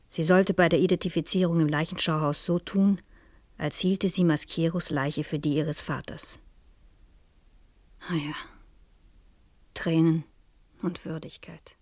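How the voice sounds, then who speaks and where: An actress playing a curious, composed madame in a radio crime drama, speaking in a reflective, wry way. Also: female voice, deep voice, a little mellow, no room sound. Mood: confiding, intent. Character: curious, composed madame narrating her own dangerous case. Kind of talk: German radio crime drama acting and narration.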